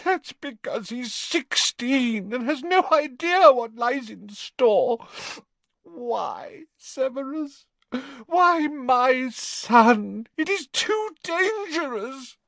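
A man voicing a desperate, tearful aristocratic mother in an audiobook, pleading in a high, tearful voice. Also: expressive, slow pace, gravelly, no room sound, exaggerated voice. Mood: weeping. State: crying. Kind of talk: audiobook character voice.

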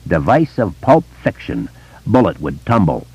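A man voicing a gruff, dismissive old gentleman who talks in abrupt, clipped phrases in an audiobook. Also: deep voice, huge pitch swings, unhurried, no echo, muffled recording, heavily acted. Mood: curt.

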